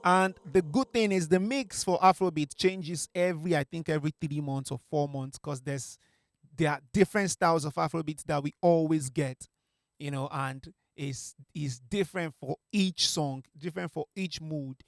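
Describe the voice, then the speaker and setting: A man's voice: on the high side, moderate pace, vocal fry, dry recording. A young man, a music producer, talking through a vocal mix in a casual tutorial from his small home studio, with many fillers and restarts. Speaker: music producer teaching mixing. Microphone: studio mic on a stand.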